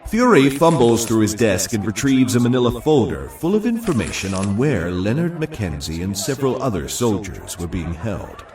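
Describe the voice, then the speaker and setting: A man's voice: lowish voice, big pitch swings, moderate pace, hardly any pauses, distant mic, crowd noise. A man narrating a scripted audio drama.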